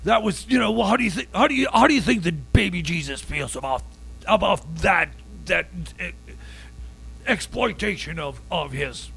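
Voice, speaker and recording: This is a man voicing a jumpy hillbilly on a comedy radio show. The voice sits high, with a bright, strained, husky edge and heavy gravel. He stammers through every thought and keeps blowing up into shouts. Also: animated, moderate pace, soft background music, heavily acted. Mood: indignant. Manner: stammering, flustered, bursts into shouting. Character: agitated hillbilly man Jeb, excitable. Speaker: co-host. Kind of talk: improvised comedy radio bit.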